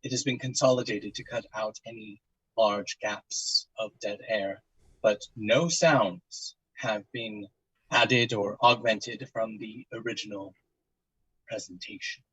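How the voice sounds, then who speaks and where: An actor playing a regretful doctor giving halting, formal testimony for an audio drama. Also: male voice, fairly low pitch, fairly even intonation, fairly bright tone, slightly rough, dry recording, heavily acted, low-quality recording. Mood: hesitant, formal.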